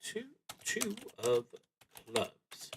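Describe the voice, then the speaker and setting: A man livestreaming a solo roleplaying game, reading prompts and thinking aloud in a halting, dryly wry way. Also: noisy background.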